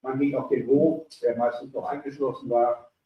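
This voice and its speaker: A man's voice: mid-range voice, level tone, normal speed. A man moderating a panel discussion and asking thoughtful questions. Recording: dull, echoey room, distant mic.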